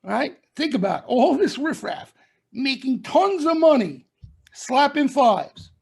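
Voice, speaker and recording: A man's voice: extremely high pitch, very animated, takes it slowly, gravelly, a little mellow, husky. Emphatic man lecturing traders. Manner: emphatic, repeats points, lectures and warns listeners. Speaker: stock trading commentator. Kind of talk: members-only market broadcast.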